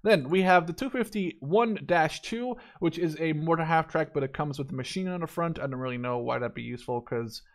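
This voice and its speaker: A man's voice: fairly high pitch, fairly quick, hardly any pauses. A man in his twenties giving casual, unscripted gameplay commentary in a rambling, opinionated, informal way. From Netherlands. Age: 20s. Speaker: gaming YouTuber reviewing a game deck.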